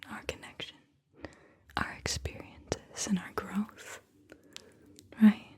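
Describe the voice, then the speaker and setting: A woman doing soft-spoken, breathy ASMR, whispering in a soothing, intimate way as she talks and reads poetry, lingering on words. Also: slow. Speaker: ASMR host and poetry reader.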